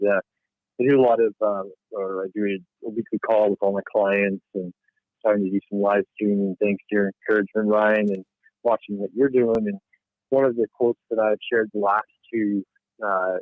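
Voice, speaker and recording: Over a narrow, tinny phone connection, a man with a fairly low voice speaks as a caller. He talks in a conversational, motivational style. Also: level tone, moderate pace, dry recording. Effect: telephone line. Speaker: caller, financial planner. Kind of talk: conversational, sharing a motivational quote. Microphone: phone call into a livestream.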